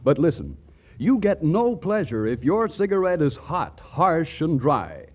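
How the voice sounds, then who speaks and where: Animated male radio announcer. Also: on the high side, unhurried, muffled recording. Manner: folksy, direct address. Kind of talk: scripted 1940s radio advertising. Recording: 1940s radio broadcast.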